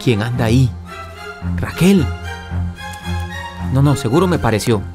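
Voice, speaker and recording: A man's voice: mid-range voice, expressive, a little slow, over music, close to an everyday voice. An actor playing an earnest, worried young man in love in a radio soap opera, with a Costa Rican accent. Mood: lost, anxious.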